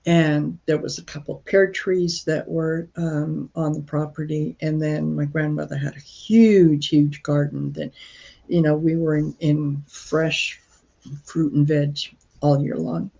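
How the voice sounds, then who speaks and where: A woman from Croatia hosting a casual live stream, talking in a rambling, halting way with many fillers and restarts. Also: sits low, fairly even intonation, creaky, dark tone, lo-fi sound.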